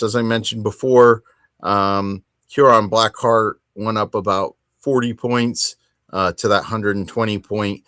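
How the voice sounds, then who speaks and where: A man's voice: on the low side, a bit bright, no echo, clean studio sound. A man hosting a hobby show solo, talking in a casual, conversational way and rambling a little as he thinks aloud. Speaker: host of a tabletop wargaming hobby show.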